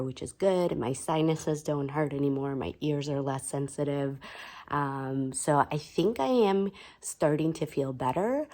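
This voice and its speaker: A woman in her thirties who is hoarse from being ill, talking quietly in a casual vlog from her bedroom at home, with many "um"s and "like"s. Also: extremely low pitch. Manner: soft, subdued, chatty with frequent "like" and "um". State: hoarse from illness. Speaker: vlogger.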